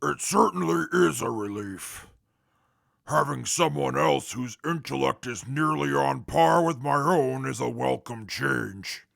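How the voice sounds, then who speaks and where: A man playing a huge, intellectual male super mutant with a gravelly voice and pompous, formal diction in an audio drama. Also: medium pitch, a little slow, fairly bright tone, dry recording, studio quality. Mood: smug. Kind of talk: post-apocalyptic audio drama acting.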